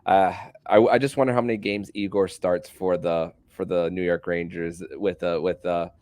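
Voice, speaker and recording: A man hosting a casual sports podcast who speaks haltingly, filling his pauses and repeating words as he searches for his next thought. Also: sits low, fairly even intonation, a little mellow, no room sound. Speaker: podcast host.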